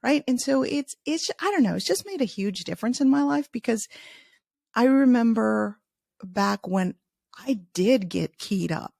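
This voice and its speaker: Middle-aged woman from Chicago, native Spanish speaker, no accent; gravelly, talkative, dry mic. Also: on the high side, lively intonation, a little slow, darkish tone, dry recording. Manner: chatty, many restarts, laughs easily. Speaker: podcast host and author, interviewed as guest. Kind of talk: casual podcast interview.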